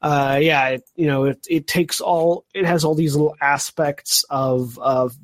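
A man hosting a casual board game review podcast, speaking haltingly and often repeating his words as he goes. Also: on the high side, fairly even intonation, a crowd in the background. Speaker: podcast host.

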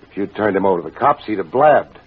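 Hard-boiled detective, deep voice, swinging intonation, sardonic wisecracks, clipped delivery, old radio crime drama. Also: male voice, lively intonation, fast talker, breathy edge. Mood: taunting. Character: wry, hard-boiled male private detective, middle-aged. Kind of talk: 1950s radio detective acting. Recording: tinny old radio recording, music behind.

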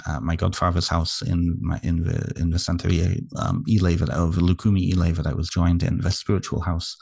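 Deep, gravelly British man, thoughtful. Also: warm tone, no room sound, clean studio sound. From United Kingdom, moved to Canada young. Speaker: podcast guest, author and therapist. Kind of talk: reflective interview conversation.

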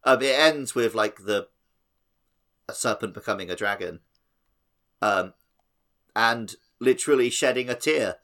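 A man's voice: mid-range voice, measured pace, long pauses, fairly bright tone. A man co-hosting a podcast, explaining things in a casual, rambling way. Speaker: podcast co-host. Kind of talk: casual podcast discussion explaining mythology and games.

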